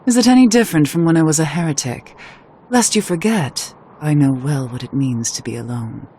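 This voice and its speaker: A woman playing a proud, lonely, resolute witch in a video game, with formal and measured speech. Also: deep voice, lively intonation, dark tone, a little hiss or hum. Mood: somber, defiant. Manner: formal, measured, archaic phrasing. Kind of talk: scripted video game voice acting.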